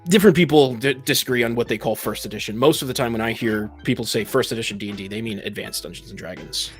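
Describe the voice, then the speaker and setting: A man's voice: mid-range voice, fast talker, faint music behind. A man in a casual discussion, explaining things in an analytical, precise and somewhat argumentative way. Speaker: panelist in a tabletop gaming discussion.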